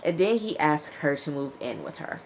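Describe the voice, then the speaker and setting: A woman's voice: deep voice, animated, normal speed, slight background noise. A woman giving a casual, conversational and opinionated reality TV recap, acting out what people said as she goes. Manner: conversational, opinionated, acts out quotes. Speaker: TV show reviewer.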